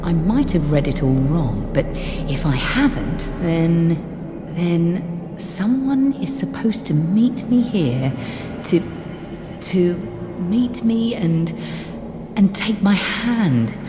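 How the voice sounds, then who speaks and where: An earnest, intense female scholar from a fantasy game, her voice fairly low. She is driven by the search for her lost family. Her words ring through a long stone-like reverb with music underneath. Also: expressive, slow pace. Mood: hopeful, absorbed. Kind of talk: dramatic video game dialogue. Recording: echoey hall reverb, music behind.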